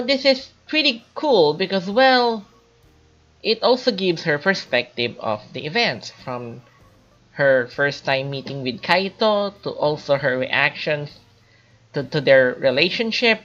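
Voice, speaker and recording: A woman giving an informal spoken game review in a hesitant, halting, explanatory way. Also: deep voice, a bit bright, lo-fi sound. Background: light background music.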